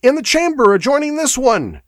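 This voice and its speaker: A man voicing an excitable, insistent male guardsman in a fantasy audiobook. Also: extremely high pitch, moderate pace, no echo, clean studio sound, pitched above the performer's own voice, full character voice. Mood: eager. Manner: agitated, insistent.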